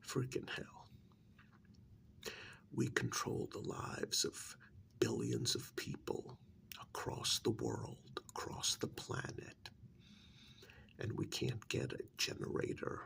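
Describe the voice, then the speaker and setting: A man playing a cold, condescending secret-agency superior in a soft-spoken ASMR role-play, measured and patronizing, with a breathy, gravelly half-whisper and deliberate pauses. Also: medium pitch, very animated, exaggerated voice. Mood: irritated.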